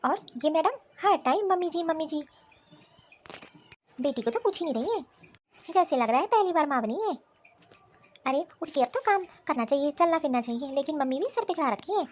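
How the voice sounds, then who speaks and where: A woman voicing a jealous, sulky sister-in-law who talks in a sarcastic, complaining way in an animated family drama. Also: sits high, moderate pace, long pauses, slight background noise. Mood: resentful grumbling.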